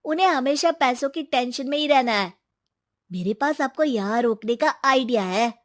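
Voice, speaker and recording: Woman voicing a high-pitched, earnest young boy. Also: expressive, normal speed. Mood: eager, reassuring. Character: young grandson, earnest, stubborn, devoted to his grandmother. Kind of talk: scripted animated moral story dialogue.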